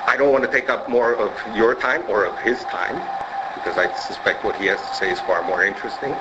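A man introducing a guest speaker in a measured, formal way, pausing between phrases. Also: fairly low pitch. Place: lecture room with wood panelling. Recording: dull lo-fi lecture recording with background noise.

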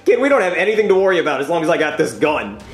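A man's voice: a little high, big pitch swings, very fast. A man playing a cocky, sarcastic but cowardly gunman in a comedy skit, brash and wisecracking. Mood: cocky, frantic.

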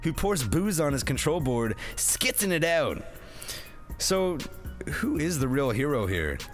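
A man's voice: fairly high pitch, sing-song, measured pace, soft background music. A man narrating a comedic film review as host, with a jokey, theatrical, slangy delivery.

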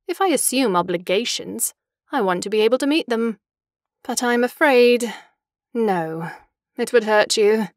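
A woman's voice: a little high, lively intonation, measured pace, no echo, studio quality. An actress playing a fickle, imperious young woman in an audio drama, poised and articulate, with a mocking manner. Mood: frank, playful.

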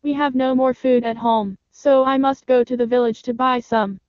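A woman's voice: high-pitched, steady tone, moderate pace, clean tone, no room sound, voice pushed up, natural voice. A woman voicing a loving mother goat who warns her children to be careful, in a storybook reading. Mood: caring. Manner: gentle, warning. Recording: dull lo-fi sound, dry.

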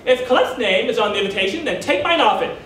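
A man's voice: very high-pitched, animated, fast talker, a crowd in the background. A man voicing an aggrieved, self-pitying older mother who guilt-trips her son, indignant and haughty, in a stage monologue. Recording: echoey room, distant mic, faint noise.

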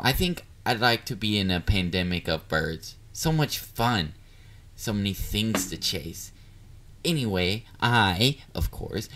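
A man's voice: fairly low pitch, a bit bright. A man playing a bubbly, bashful catboy boyfriend in an intimate roleplay audio drama, dorky and easily flustered. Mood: playful, boastful. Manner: playful, dorky, flustered, hesitant. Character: bubbly, bashful young male catboy partner.

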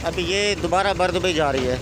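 Young male travel vlogger, excited and chatty, slightly high voice, phone held out on a boat, heavy engine and water noise. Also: fairly quick. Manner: excited, chatty, repeats himself. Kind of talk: casual travel vlog. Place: outdoors on a busy waterfront and boat. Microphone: phone at arm's length.

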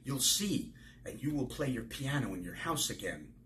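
A man in his sixties reading the part of a hopeful, gentle baker who soothes others, from a novel. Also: mid-range voice, very animated, normal speed, slight background noise, voice pushed up. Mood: placating.

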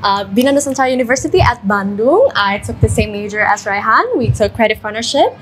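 A young woman from Indonesia talking brightly about her program. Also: a little high, lively intonation, fast, soft background music, low-quality recording. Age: young adult. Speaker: student participant. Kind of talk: casual testimonial.

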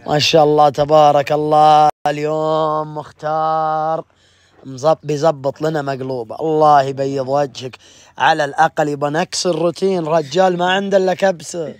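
A man with a fairly high voice jokes and needles his friends at a desert picnic. He speaks into a phone he holds himself, in the open air, and his talk is playful and provoking. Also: little pitch movement, normal speed, dry recording. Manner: playful, provoking, bursts into high laughing shouts. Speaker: vlogger filming friends. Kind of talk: casual teasing banter. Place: outdoor desert camp picnic. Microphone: phone held by the speaker.

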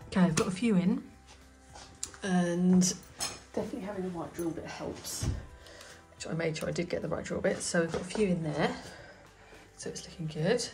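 A woman's voice: fairly low pitch, moderate pace, music in the background. Chatty woman doing home DIY. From United Kingdom. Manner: chatty, thinking aloud while working. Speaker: DIY home renovation vlogger. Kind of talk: casual hands-on DIY tutorial. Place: indoor hallway and staircase at home. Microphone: handheld phone or camera filming her hands.

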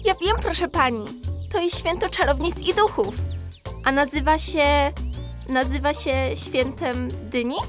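A woman's voice: high voice, measured pace, soft background music. A woman voicing an eager, curious young animal pupil in a children's audio drama. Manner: eager, questioning.

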